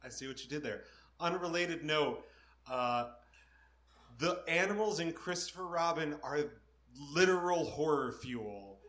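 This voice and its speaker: A man's voice: on the high side, animated, measured pace, fairly bright tone. A man playing a live comedy quiz as contestant, laughing and self-deprecating. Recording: echoey live room, faint noise.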